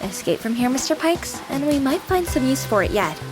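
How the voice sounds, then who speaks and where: A woman's voice: sits high, very animated, brisk, over music. A woman playing a young female AI companion with an anime-girl persona in a visual novel game, devoted and formal but playful. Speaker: game character.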